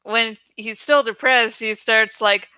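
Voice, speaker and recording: A woman's voice: on the high side, fairly even intonation, slow, sharp tone, clean tone, no room sound. A woman host chatting in a rambling, informal, giggly way about a book, swearing freely. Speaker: host of a book read-along channel.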